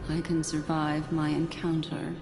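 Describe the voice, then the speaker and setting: A woman voicing a composed, grave female investigator in a video game, speaking in a cool, deliberate manner. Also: deep voice, monotone, noisy background. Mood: solemn, resolute. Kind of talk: dramatic video game voice acting.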